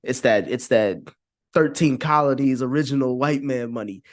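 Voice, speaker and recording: A man with a mid-pitched voice chats as a podcast guest in a very dry recording. He is informal and slangy and swears easily. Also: moderate pace, dry recording. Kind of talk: casual chat.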